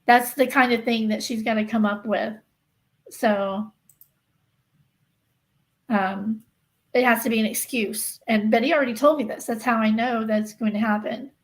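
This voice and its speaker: A woman with a slightly high voice chats casually as the host of a livestream. She speaks directly to her viewers in an emphatic, insistent way, often restating a point to stress it. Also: fairly even intonation, a little slow, long pauses, poor audio. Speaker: livestream host of a true-crime gossip channel.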